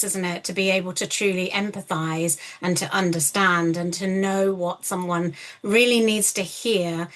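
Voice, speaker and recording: A woman hosts a talk podcast in a supportive, chatty way. She echoes and praises her guest, and her thoughts come out with restarts and rising tag questions. Her pitch is moderate. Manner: affirming, many fillers and restarts, frequent tag questions. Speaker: podcast host. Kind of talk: supportive interview chat.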